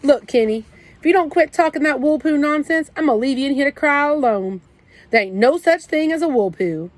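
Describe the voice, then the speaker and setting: A woman doing a gruff, streetwise teen brother. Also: fairly high pitch, lively intonation, brisk, dry recording, voice pushed up, lightly acted. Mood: gruff comforting. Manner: streetwise slang, scolding then comforting. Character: tough teenage big brother, gruff but protective.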